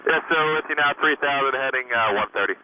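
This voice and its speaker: A man's voice: medium pitch, fast talker. A man speaking as an airline pilot in clipped radio readbacks over an aircraft radio. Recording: noisy, narrowband aircraft radio transmission.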